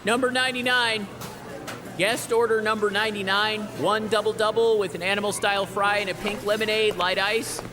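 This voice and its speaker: An actor playing a young fast-food counter worker, calling out loudly in an audio drama. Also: male voice, high voice, little pitch movement, close to an everyday voice. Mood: brisk. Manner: loud calling out. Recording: music behind the voice.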